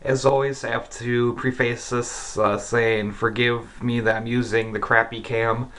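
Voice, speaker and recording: A man's voice: medium pitch, little pitch movement, measured pace, fairly bright tone. A man giving a casual radio hobbyist demonstration, informally thinking aloud, recorded indoors on a cheap camera mic. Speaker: amateur shortwave radio hobbyist and presenter. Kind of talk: casual hands-on demonstration vlog. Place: indoor room with radio equipment. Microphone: camera's built-in mic. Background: shortwave radio hiss and clicks.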